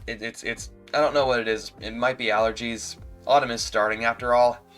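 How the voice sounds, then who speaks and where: A man with a stuffy nose talking casually on a livestream as a streamer, halting and repeating his words as he goes. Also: medium pitch, steady tone, a bit bright, faint music behind.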